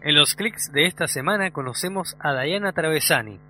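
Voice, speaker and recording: A man's voice: fairly high pitch, animated, normal speed, over music. A man reading scripted narration as a radio announcer.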